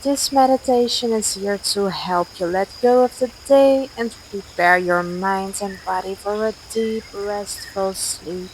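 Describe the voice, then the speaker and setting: A woman leading a guided sleep meditation in a gentle, soothing, measured way, with long pauses between instructions. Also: medium pitch, low-quality recording. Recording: steady outdoor nature noise, crickets. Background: cricket and night insect ambience.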